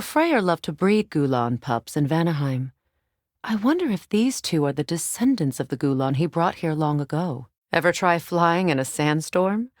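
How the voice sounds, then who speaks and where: An actress playing a proud, wise warrior sorceress in a video game, with measured, formal delivery and dry wit. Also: female voice, on the low side, dry recording, lightly acted. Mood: dry, teasing. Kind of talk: video game character dialogue.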